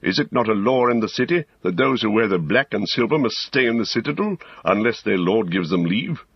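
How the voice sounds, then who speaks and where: A man's voice: fairly low pitch, lively intonation, a little fast, gravelly, husky, dry recording, dull audio, pitched above the performer's own voice, heavily acted. A man voicing a grave old male wizard, stern, weighty and commanding, in an audiobook story. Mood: stern, questioning. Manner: weighty, urgent, authoritative.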